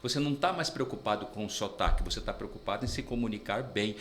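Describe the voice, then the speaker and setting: A man's voice: fairly low pitch, fast, fairly bright tone. Earnest man explaining. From Brazil. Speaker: interpreter and business English mentor. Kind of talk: interview guest explaining views.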